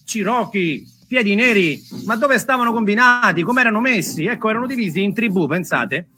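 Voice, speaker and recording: A man's voice: high-pitched, expressive, a little fast, sharp tone, slight background noise. A man, telling a story as a theatrical monologue in a playful, conversational way. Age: 40s. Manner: conversational, playful, mimics sounds with the mouth.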